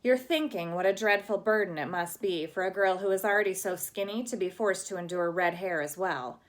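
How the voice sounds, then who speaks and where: A woman's voice: medium pitch, a little fast, lightly acted. A woman voicing a dramatic, chatty red-haired girl from a storybook who talks in a gushing, flowery, theatrical way with an accent that cannot be placed. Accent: unplaceable.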